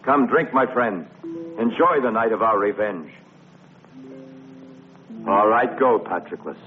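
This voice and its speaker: A man's voice: on the low side, slow. A man playing a proud, embittered Greek warrior hero in a dubbed historical epic film, theatrical and sardonic. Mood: bitter, sardonic. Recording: tinny old film soundtrack with music behind.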